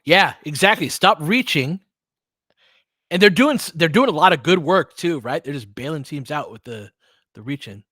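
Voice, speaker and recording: A man's voice: a little high, expressive, normal speed, sharp tone, no room sound, studio quality. A man doing casual, informal live basketball play-by-play in clipped phrases, chatting with listeners as he goes. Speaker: podcast host doing live play-by-play commentary. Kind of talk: casual live basketball commentary with chat replies.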